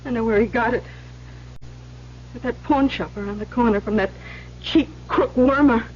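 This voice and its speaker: A woman's voice: a little high, moderate pace, close to an everyday voice. An actress playing a bitter, self-pitying, hard-drinking woman in an old radio drama, slangy and blunt. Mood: bitter complaining. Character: bitter, weepy, hard-drinking woman stuck with bad men. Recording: noisy, muffled vintage radio recording.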